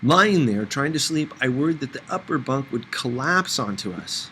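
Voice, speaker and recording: A man's voice: medium pitch, animated, moderate pace, pushed and bright, a little hiss or hum. A man narrating a first-person novel as an audiobook reader, in a scripted storytelling style.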